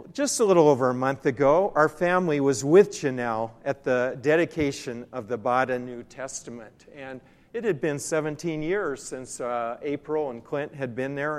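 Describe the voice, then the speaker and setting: An older man giving an earnest, somewhat halting missionary slide talk in public. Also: mid-range voice. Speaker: missionary Bible translation consultant giving a presentation. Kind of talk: slide-show mission talk to a church group. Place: large reverberant room or hall. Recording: echoey hall with long reverb.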